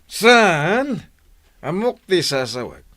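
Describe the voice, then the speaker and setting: A man's voice: sits high, very animated, slow, fairly bright tone, exaggerated voice. A man playing a jealous, hot-tempered village husband who accuses and confronts, in a radio drama. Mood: pushy. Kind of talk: Ilocano radio drama acting.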